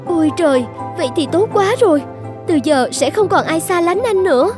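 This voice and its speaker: High-voiced gentle young bride. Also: female voice, animated, moderate pace. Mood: surprised. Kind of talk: cartoon fairy-tale dubbing. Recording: music behind the voice.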